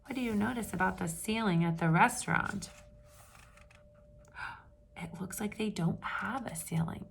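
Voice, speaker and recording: A woman reading a picture book aloud to children with lively expression, pausing to ask her listeners questions in hushed asides. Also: medium pitch, gravelly, slight background noise. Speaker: read-aloud storyteller for children.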